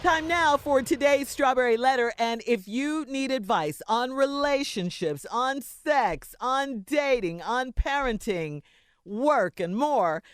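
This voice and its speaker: Female radio co-host, lively intonation, mid-pitched, reading copy over soft music. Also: no echo, studio quality. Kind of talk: radio hosting, reading letters and ads. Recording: faint music behind the voice.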